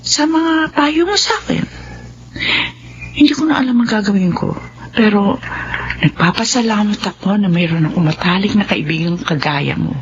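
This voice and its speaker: A woman acting as a middle-aged wife and mother in a radio drama, earnest and pleading, later warm and grateful. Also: mid-range voice, lively intonation, normal speed, long pauses, a little hiss or hum, lightly acted. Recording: muffled radio broadcast audio.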